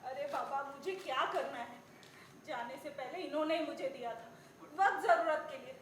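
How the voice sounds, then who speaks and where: Older widowed mother, female actor, family drama; gentle, coaxing, high-pitched, echoey room. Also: normal speed, close to an everyday voice. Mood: resigned, gentle. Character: gentle, anxious widowed mother of grown sons. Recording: echoey room, faint ambient noise.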